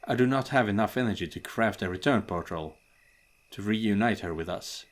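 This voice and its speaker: A man voicing a polite, weary portal-making guide with formal, measured, deferential speech in an animated comedy story. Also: lowish voice, natural voice.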